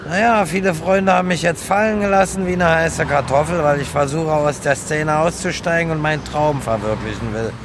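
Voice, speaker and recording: Higher-voiced homeless man over street noise acted. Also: brisk, hardly any pauses, close to an everyday voice. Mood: plain, determined. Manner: plain-spoken, colloquial. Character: earnest homeless man chasing his dream, grateful. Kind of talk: radio drama acting, interview-like. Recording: noisy outdoor urban street, traffic. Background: vehicle and street noise.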